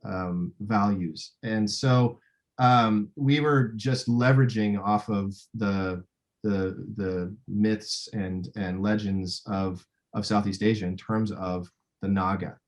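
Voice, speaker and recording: A man speaking as a film director in a casual interview, thinking aloud in a halting way. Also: lowish voice, steady tone.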